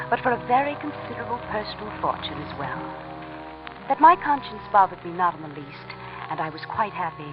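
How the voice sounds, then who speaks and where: A woman's voice: on the low side, close to an everyday voice. A calculating wife with a secret acted out by a woman in a 1940s radio play. She speaks formally, with poised, precise diction. The sound is dull and dated. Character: cool, calculating murderess, outwardly a devoted wife. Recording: dull old radio recording.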